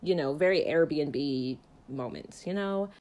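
A woman's voice: deep voice, slow pace, darkish tone. A woman giving a casual, chatty film review in a jokey, conversational way, peppered with "like". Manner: jokey, conversational, uses filler "like" often. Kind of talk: casual chatty movie recap and commentary.

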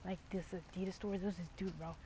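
A woman's voice: lowish voice, steady tone, fast, warm tone. Rambling young woman with a lo-fi mic. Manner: rambling, full of fillers and slang like bro and dude. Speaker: streamer. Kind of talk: casual rambling monologue. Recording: dull lo-fi mic with clear background noise.

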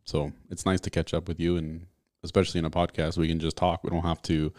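A man's voice: sits low, level tone, fast, a little mellow, no room sound, studio quality. A young man co-hosting a casual podcast with a friend, easygoing and self-assured. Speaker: podcast co-host. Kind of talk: casual chat.

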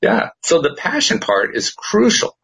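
A man's voice: fairly high pitch, wildly swooping pitch, a little slow, gravelly, a bit bright, breathy edge, low-quality recording. A middle-aged man, a podcast host, talking earnestly and reflectively in a casual conversation.

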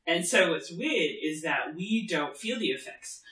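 Bright-voiced, high-pitched man, echoey room. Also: animated, a little slow. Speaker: podcast co-host. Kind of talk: casual TV discussion chat. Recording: roomy, echoey room sound.

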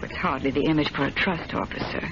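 An actress playing a prim, solicitous middle-aged wife in an old-time radio drama, proper and faintly reproving. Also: female voice, low-pitched, quick pace. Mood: disapproving. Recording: noisy, dull old radio recording.

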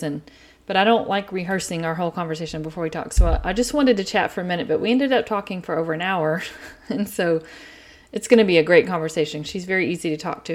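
A middle-aged woman with an East Texas accent, hosting her podcast from a quiet home in an earnest, chatty way. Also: on the low side. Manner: chatty, earnest, talks faster as she warms up. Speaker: podcast host. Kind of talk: casual solo podcast talk.

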